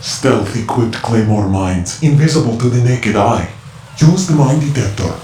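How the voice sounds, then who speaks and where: An actor playing a mysterious, evasive radio informant who speaks tersely over a radio transmission. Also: male voice, mid-range voice, expressive, measured pace, warm tone, echoey room, a little hiss or hum. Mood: guarded.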